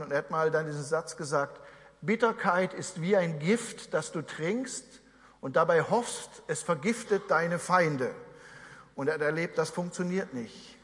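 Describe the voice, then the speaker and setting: Male pastor, 40s, from Germany; earnest conversational sermon delivery, a touch high, reverberant hall. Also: fairly bright tone. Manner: earnest, conversational preaching with asides to the congregation.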